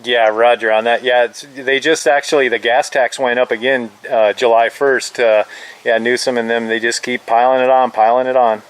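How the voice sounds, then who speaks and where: Man, mid-pitched, casual grumbling radio conversation with clear noise. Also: level tone, normal speed. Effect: two-way radio. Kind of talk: casual chat over two-way radio. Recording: clearly noisy two-way radio signal.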